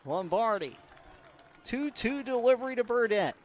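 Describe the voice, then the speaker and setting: A man's voice: extremely high pitch, animated, moderate pace, some chatter behind. A man doing live radio play-by-play commentary as a baseball announcer.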